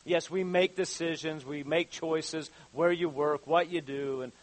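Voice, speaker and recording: High-voiced man in his fifties preaching. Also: fairly even intonation. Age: 50s. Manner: halting, repeats words, rises to fervent exhortation. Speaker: pastor. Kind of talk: sermon.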